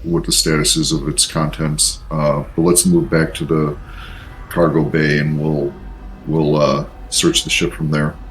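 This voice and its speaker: A man's voice: extremely low pitch, level tone, a little slow, fairly bright tone, poor audio. A man playing a tabletop roleplaying game, talking in character and thinking aloud a little hesitantly. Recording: faint background noise.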